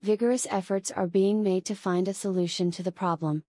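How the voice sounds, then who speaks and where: A woman's voice: mid-range voice, steady tone, a little fast, mellow, dry recording. A woman reading scripted practice sentences for an English speaking test. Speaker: test prompt reader.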